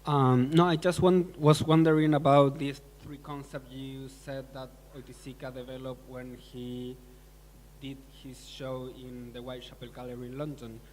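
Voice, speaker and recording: A man's voice: medium pitch, little pitch movement, moderate pace, a little mellow. A man in the audience tentatively asking a lecturer a question. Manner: tentative, wondering aloud. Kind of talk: live public Q&A. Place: large lecture hall. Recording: reverberant hall with faint hum.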